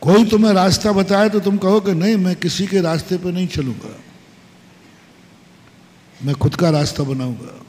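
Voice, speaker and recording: A man preaching a sermon as a monk, slow and emphatic, posing rhetorical questions and repeating short maxims. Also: sits high, darkish tone. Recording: long hall reverb.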